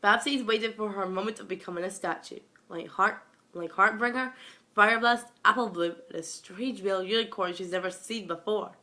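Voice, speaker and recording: A woman's voice: mid-range voice, moderate pace, pushed and bright. A young woman reading a scripted story aloud in a dramatic, amateur way, recorded at home on a phone. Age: young adult or younger, school age. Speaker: amateur fan fiction reader.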